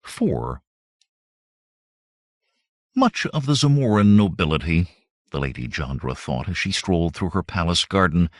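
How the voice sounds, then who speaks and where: A man reading adventure fiction aloud as an audiobook narrator, in measured, expressive storytelling. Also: lowish voice, vocal fry, no echo, studio quality.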